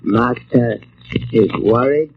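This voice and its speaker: An actor playing a superstitious, subservient manservant with buried resentment, halting and deliberate, in old radio drama style. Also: male voice, medium pitch. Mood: timid, uneasy. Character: meek, superstitious foreign manservant, loyal but resentful. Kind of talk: 1940s radio drama acting. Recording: muffled old radio recording, noisy.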